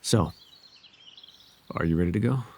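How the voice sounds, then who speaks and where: Man playing a low, husky, bashful fairy man. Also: unhurried. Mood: reassuring. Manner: tender, hesitant, formal old-fashioned phrasing. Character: gentle, devoted, bashful winged fairy man. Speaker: voice actor. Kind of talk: ASMR roleplay.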